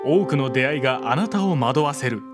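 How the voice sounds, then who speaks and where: A man's voice: medium pitch, animated, a little fast. A man reading scripted, dramatic narration for a game trailer. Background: music.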